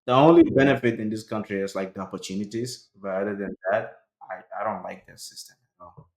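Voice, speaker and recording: A young man from Sudan talks as an interview guest in a relaxed, conversational way, his words full of "like" and "bro". His voice sits fairly low. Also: brisk, poor audio. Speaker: guest, cloud computing worker. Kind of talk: casual interview answers.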